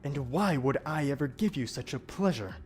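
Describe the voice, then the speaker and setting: A man's voice: mid-range voice, lively intonation, normal speed, a little mellow, slight background noise, lightly acted. An actor playing a stern, protective male demon guardian who speaks in stiff, archaic, formal diction in an audio drama. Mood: stern threat.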